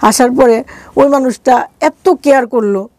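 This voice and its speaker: A woman's voice: high voice, measured pace, a little mellow, dry recording. A middle-aged woman with a Bangladeshi accent telling a personal story conversationally as an interview guest, speaking colloquially and acting out quoted dialogue.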